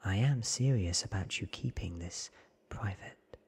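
Cool vampire woman in a husky whisper done by a man. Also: lowish voice, measured pace, heavily acted. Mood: teasing. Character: ancient female vampire, youthful, teasing, self-assured. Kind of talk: whispered ASMR storybook character reading.

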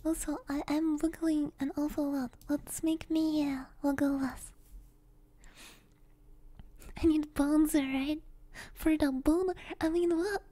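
A soft-spoken, playful woman chatting as an ASMR streamer, close on a stereo microphone and sometimes slipping into whispers. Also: sits high, fairly even intonation, unhurried. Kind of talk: ASMR livestream chat, drifting into whispers. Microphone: close binaural stereo microphone.

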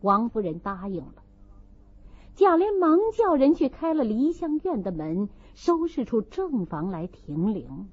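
A woman's voice: on the high side, very animated, a little slow, darkish tone, breathy edge, highs cut off. A woman narrating a classic novel as a dramatic audiobook.

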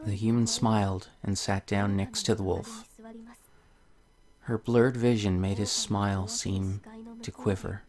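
A man's voice: fairly low pitch, steady tone, measured pace, a little mellow. A man hosting a video game playthrough, commenting on the game and reading its story text aloud. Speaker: let's play host.

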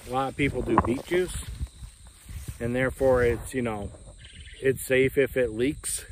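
A man's voice: mid-range voice, slight background noise. Forties guy rambling folksy about his farm stuff, phone held out, outside w/ faint bugs chirping. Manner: rambling, folksy, with fillers like "uh" and "you know". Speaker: homesteading vlogger. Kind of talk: casual walkaround vlog. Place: outdoors on a rural farm lawn. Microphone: phone camera at arm's length.

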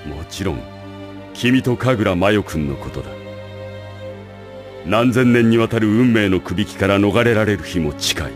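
A man playing a calm, scheming school director, measured and formal, in an anime audio drama. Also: on the low side, faint music behind, close to an everyday voice. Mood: calm, calculating. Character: calm, calculating male school director with secret plans.

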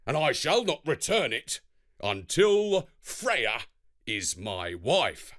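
A man voicing a haughty giant king in a children's storybook, proclaiming slowly and boomingly. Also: very animated, a bit bright. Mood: demanding.

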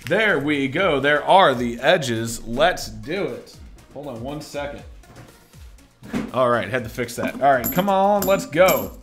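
A young man with a hoarse, scratchy voice, chatting upbeat and casually as a livestream host while greeting viewers. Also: mid-range voice, lively intonation, slow, fairly bright tone, faint music behind. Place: indoors at a desk.

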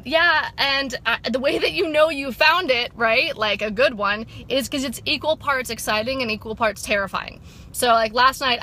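A woman's voice: a little high, pushed and bright, a little hiss or hum. A woman in her thirties, an upbeat sobriety coach chatting with viewers from a parked car into a phone held at arm's length, with many fillers. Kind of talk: casual motivational vlog. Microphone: phone camera at arm's length.

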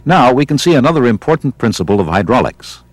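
An American man reading scripted instructional narration for a training film in a measured, didactic way. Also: mid-range voice, big pitch swings, no echo. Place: studio voice-over.

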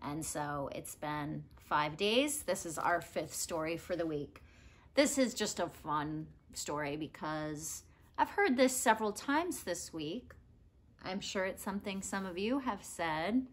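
A woman's voice: on the low side, animated, unhurried. A woman in her thirties speaking casually to children as a read-aloud storyteller, indoors in a living room.

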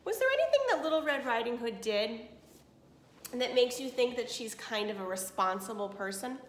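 Expressive woman reading aloud, echoey room. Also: a little high, moderate pace, a little hiss or hum. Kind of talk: expressive children's storybook read-aloud with asides to pupils. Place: small room indoors. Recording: roomy echo of a small room.

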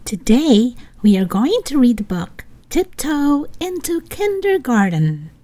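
A woman reading a picture book aloud to young children, expressively, with sing-song, deliberate phrasing. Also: high-pitched, very animated, warm tone. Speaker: children's story reader.

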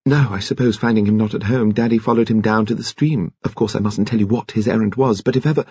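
Male reader as refined young woman, distressed, halting, earnest, raised pitch, muffled audiobook sound. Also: vocal fry, darkish tone, slight background noise. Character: distressed, refined young English woman pleading for her father. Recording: muffled, echoey room.

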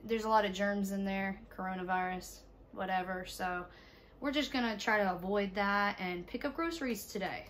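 A young woman chatting casually to camera as a mom vlogger, relaxed and rambling with fillers, sitting on her bed indoors. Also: medium pitch, normal speed, a little hiss or hum. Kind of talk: casual vlog chat to camera.

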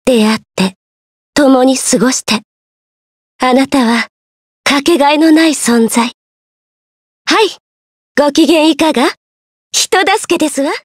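Woman as high, soft refined anime lady. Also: a little fast, pauses often, no echo, clean studio sound, close to an everyday voice. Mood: tender. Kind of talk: anime game voice acting.

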